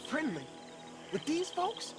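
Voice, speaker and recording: An actor playing an eager, wry young outlaw in an Old West video game. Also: male voice, very high voice, huge pitch swings, slow, over music, heavily acted. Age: young adult. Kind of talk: naturalistic video game acting.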